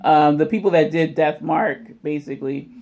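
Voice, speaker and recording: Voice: medium pitch, moderate pace, a little mellow. A vlog host chatting casually and informally to viewers. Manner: informal, addresses viewers as y'all. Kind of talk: casual unboxing vlog commentary.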